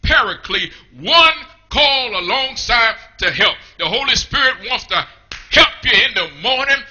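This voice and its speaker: A middle-aged man preaching a fiery revival sermon in a small church, shouting in rhythmic, repeating calls. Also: extremely high pitch, lively intonation, measured pace, lo-fi sound, faint music behind.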